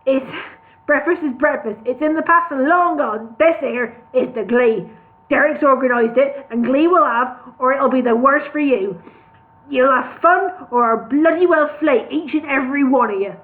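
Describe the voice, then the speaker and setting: Woman doing a gravelly bellowing brewery boss. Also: on the high side, steady tone, moderate pace, natural voice. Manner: bossy, shouting demands. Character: loud bullying gravelly male brewery boss. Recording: tinny phone-like sound.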